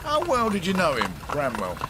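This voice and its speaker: An actor playing a polite, reserved Victorian detective in a radio drama, terse and probing. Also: male voice, on the high side, wildly swooping pitch, normal speed, noisy background. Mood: guarded, probing. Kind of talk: naturalistic radio drama acting.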